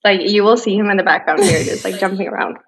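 A woman's voice: medium pitch, fast talker, a bit bright, breathy edge. A woman in her twenties from Sweden, speaking English with a Swedish accent as a podcast guest, bubbly and giggly, chatting casually from home. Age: 20s. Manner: bubbly, giggly, loose and informal. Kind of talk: casual chat. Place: home, over a video call.

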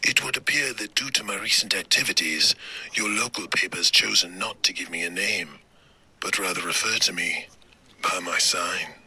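An actor playing a courteous, menacing serial killer in a radio suspense play, with a husky, half-whispered voice and a mock-polite, taunting manner. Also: male voice, low-pitched, normal speed, sharp tone, exaggerated voice, low-quality recording. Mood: sinister, taunting. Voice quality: husky, raspy, partly whispered. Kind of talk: old-time radio suspense acting.

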